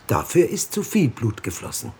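An actor playing a blunt, sardonic questioner in a radio drama. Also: male voice, medium pitch, huge pitch swings, normal speed, heavy background noise.

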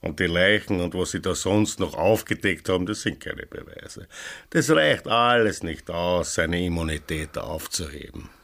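A man's voice: sits low, normal speed, a bit bright. An actor playing an evasive, blustering small-town policeman with an Austrian accent in a radio drama. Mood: evasive, smug. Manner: stalling, dismissive, blustering.

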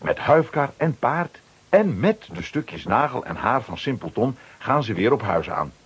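A man's voice: medium pitch, very animated, measured pace, husky. A man narrating a children's radio play from a script, dramatically.

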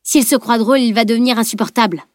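A woman voicing a bossy, sharp-tongued teenage girl with a commanding, sarcastic delivery in an audiobook. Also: fairly high pitch, fast, no echo, clean studio sound, close to an everyday voice. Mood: stern, commanding. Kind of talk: dramatised audiobook dialogue.

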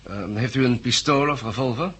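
An actor playing a brisk, businesslike man in charge of survivors, in a 1960s Dutch radio drama. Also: mid-range voice, fairly bright tone, breathy edge. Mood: brisk, practical. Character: brisk male organiser handing out orders to survivors.

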